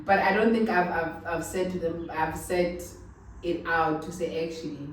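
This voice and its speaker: A woman in her thirties with a Xhosa South African accent talks about her life in a deep, big voice, pausing to think and laughing easily. She sits some way from the mic, and the room rings with strong echo under a faint hiss. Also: steady tone. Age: 30s. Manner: reflective, laughs often, mixes languages. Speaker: channel host. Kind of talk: sit-down personal conversation. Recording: echoey room, mic at a distance.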